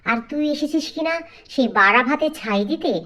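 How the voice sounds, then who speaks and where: A woman's voice: high voice, expressive, fairly quick, pushed and bright. A woman voicing a scheming, spiteful middle-aged village stepmother in a dramatic cartoon fairy tale. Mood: menacing. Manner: scolding, menacing, falsely sweet when wheedling.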